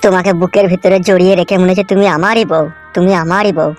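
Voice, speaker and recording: A man's voice: high voice, fairly even intonation, quick pace, lightly acted. Man acting a yearning cartoon lover. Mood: yearning, amorous. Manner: sentimental, wooing. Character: jilted young male lover, sentimental and yearning. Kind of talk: amateur comedy cartoon dubbing. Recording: music under the voice.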